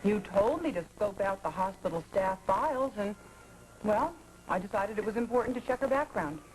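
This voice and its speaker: A woman's voice: medium pitch, expressive, moderate pace, natural voice, rough recording. An actress playing a poised, flirtatious professional woman in a 1980s daytime soap, talking in a teasing, self-assured way. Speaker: soap opera character.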